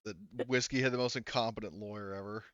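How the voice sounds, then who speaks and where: A man with a lowish, smooth voice talks casually as a tabletop game master. The recording is dry and a little muffled. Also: fairly even intonation, fast talker, a bit bright, no echo.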